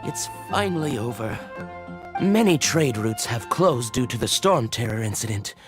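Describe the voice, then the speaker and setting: A woman's voice: extremely low pitch, lively intonation, moderate pace, over music. An actress playing a weary, relieved female merchant. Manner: tired, sighing.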